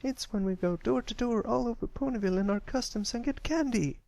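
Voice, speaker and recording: Man voicing a high-pitched, folksy young filly pony. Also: brisk, creaky, a little mellow, dry recording. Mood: excited. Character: friendly, eager young country filly pony. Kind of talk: character voice in storybook reading.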